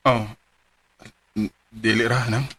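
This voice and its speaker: An actor playing a withdrawn young man who cleans a church, speaking haltingly. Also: mid-range voice, flat delivery, fairly bright tone, a little hiss or hum. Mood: sleepy, hesitant. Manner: hesitant, halting. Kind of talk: radio drama acting.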